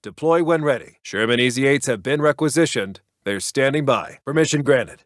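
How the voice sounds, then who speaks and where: A male actor playing a brisk, authoritative American World War Two intelligence officer in a video game, with clipped military delivery. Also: mid-range voice, dry recording, clean studio sound, natural voice. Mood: brisk, official. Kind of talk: scripted war game unit voicelines.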